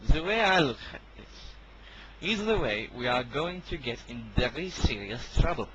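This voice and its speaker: A man's voice: mid-range voice, sing-song, measured pace, a bit bright, highs cut off, slight background noise. A man playing a defiant, scheming military officer in an amateur audio drama, with an insistent manner. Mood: scheming, reassuring.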